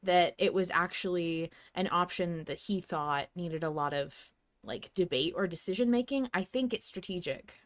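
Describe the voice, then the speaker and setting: A woman's voice: lowish voice, normal speed, no room sound. A woman hosting a podcast, talking casually in an emphatic, analytical way. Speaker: podcast host. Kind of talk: casual discussion on a fan podcast.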